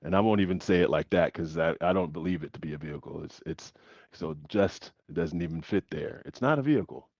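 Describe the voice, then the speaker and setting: Deep-voiced man in reflective chat. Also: fairly quick, darkish tone, no room sound, dull audio. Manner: thoughtful, laughs often, many restarts. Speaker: facilitator. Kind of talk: reflective support group talk.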